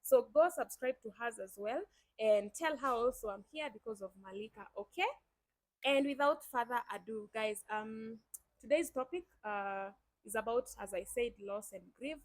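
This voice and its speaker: Here is a young Kenyan woman who hosts her own channel in a chatty, upbeat way. Her voice sits a little high and sounds dry and close, and she talks straight to her viewers. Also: normal speed, no echo. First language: Swahili. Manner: chatty, enthusiastic, addresses viewers directly. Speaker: YouTube host. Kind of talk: casual chat.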